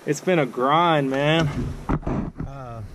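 A man in his thirties, a fishing vlogger, talking casually and excitedly to an action camera outdoors on a river. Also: fairly high pitch, slow, background noise. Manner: excited, slangy, hyped-up. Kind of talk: casual vlog talk to camera. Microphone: action camera on a pole.

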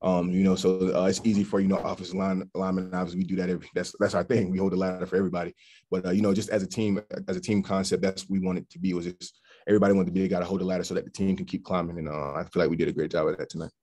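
A young man with a low, flat, dark voice rattles through earnest answers full of fillers and restarts. He speaks quickly at a post-game press conference, heard over a video call. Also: husky. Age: young adult. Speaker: college football offensive lineman. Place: press backdrop room, indoors.

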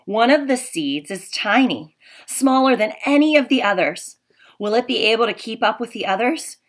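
A woman's voice: fairly high pitch, normal speed. A woman in her thirties, a teacher, reading a picture book aloud expressively for young children at home, recorded on a laptop webcam. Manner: gentle, expressive storybook reading. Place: home room, indoors.

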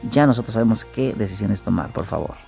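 A man's voice: on the low side, lively intonation, fast, vocal fry, darkish tone, soft background music. A man in his thirties giving casual, chatty commentary to viewers from home on a webcam. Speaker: reaction streamer.

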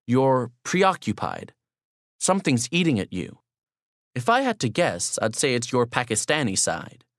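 A man's voice: medium pitch, huge pitch swings, a little slow, no echo, studio quality. A man voicing a perceptive older male boss who speaks frankly, with probing, supportive concern.

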